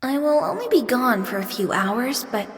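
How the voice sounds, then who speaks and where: A woman's voice: a little high, lively intonation, normal speed. A woman playing a vengeful, girlish AI companion in a video game, taunting and theatrical. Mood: cruel, taunting. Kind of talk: dramatic video game voice acting. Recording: very echoey, long reverb.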